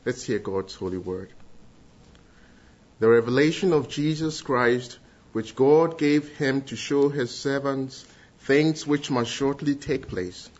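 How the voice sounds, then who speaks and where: A middle-aged man from Ghana with a Ghanaian accent, giving an earnest church testimony and leading prayer, with pauses and restarts. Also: medium pitch, darkish tone, muffled recording. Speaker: guest speaker giving testimony.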